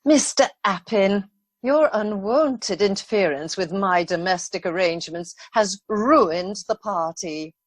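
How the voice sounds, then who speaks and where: A woman's voice: mid-range voice, unhurried, dry recording, lightly acted. A woman acting an aggrieved, imperious lady of the manor in a radio play, formal and reproachful. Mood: aggrieved, stern.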